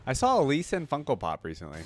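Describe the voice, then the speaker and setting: A man's voice: medium pitch, wildly swooping pitch, quick pace, over loud music. A young man hosting a reaction video, commenting casually with informal, slangy, impressed asides.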